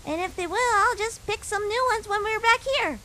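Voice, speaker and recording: A woman's voice: extremely high pitch, moderate pace, sharp tone. A woman voicing a sweet, curious little monster boy prince in a comic dub, innocent and playful. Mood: earnest, cheerful. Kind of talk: cartoon-like comic dub voice acting.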